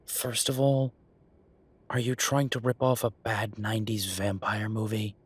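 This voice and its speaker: A man playing an exasperated, firm werewolf bureaucrat in an audio roleplay, talking emphatically in a dry, husky voice. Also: fairly low pitch, level tone, a little slow. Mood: incredulous, appalled. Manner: exasperated, emphatic, repeating himself. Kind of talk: audio roleplay acting, one side of a phone call.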